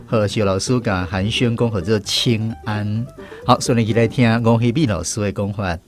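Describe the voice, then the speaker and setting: A man's voice: lowish voice, steady tone, normal speed, soft background music. A man with a Taiwanese accent hosting a language lesson segment on the radio. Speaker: radio host.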